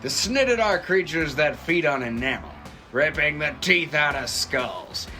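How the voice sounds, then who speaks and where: Bright, forceful corporate defense pitchman. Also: lively intonation, measured pace, faint music behind. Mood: confident, salesy. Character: earnest defense contractor pitchman, adult man, boldly patriotic. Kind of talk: scripted corporate promo narration.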